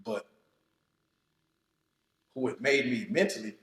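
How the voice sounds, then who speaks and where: A man in his thirties, a podcast guest telling his own story in a slow, reflective, casual way, often checking in with "you know what I'm saying". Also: mid-range voice, a bit bright. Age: 30s. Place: podcast studio room. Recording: roomy studio echo.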